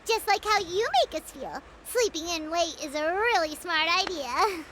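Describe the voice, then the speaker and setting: A tiny fairy mascot from a video game, with an extremely high, childlike voice. She is cheeky and excitable, and her chirpy delivery bounces up and down. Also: moderate pace, slight background noise. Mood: flustered flattery. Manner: excitable, talks about herself in third person.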